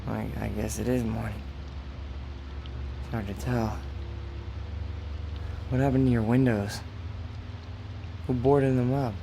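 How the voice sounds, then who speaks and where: A man's voice: mid-range voice, steady tone, gravelly, mellow, slight background noise, rough recording. An actor playing an injured policeman in a radio drama, weak and hesitant, polite and earnest. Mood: puzzled. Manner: hesitant, halting. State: weak, groggy.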